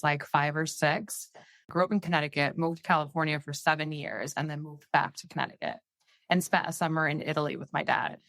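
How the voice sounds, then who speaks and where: A woman's voice: low-pitched, fairly bright tone, no echo. A woman from Peru, whose first language is Spanish, chatting as a podcast guest with many "like" fillers. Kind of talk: casual interview chat.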